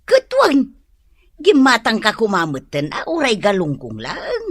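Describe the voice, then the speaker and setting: A woman's voice: medium pitch, wildly swooping pitch, unhurried, husky, no room sound, studio quality, exaggerated voice. An actress playing a scolding older mother of a stingy son in a radio comedy drama, with an Ilocano accent.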